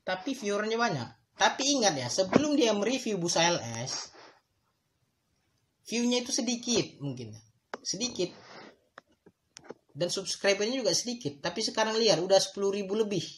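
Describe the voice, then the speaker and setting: A man's voice: high-pitched, animated, moderate pace, pauses often, noisy background. A man hosting a solo podcast. He explains his points in a thoughtful, instructive way, speaks directly to his listeners, and sometimes stretches words for emphasis. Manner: addresses listeners directly, with a moralizing tone. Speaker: podcast host.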